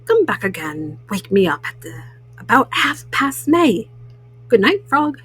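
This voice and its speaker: A woman's voice: on the high side, big pitch swings, measured pace, fairly bright tone, slightly rough, full character voice, low-quality recording. A woman voicing a grumpy, sleepy male toad in a storybook, grumbling and reluctant. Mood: drowsy. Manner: grumbling, weary, stubborn.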